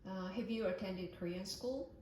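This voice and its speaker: A woman's voice: medium pitch, normal speed, warm tone, a little hiss or hum. A woman interviewer who asks her questions politely and somewhat hesitantly, with fillers. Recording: roomy echo.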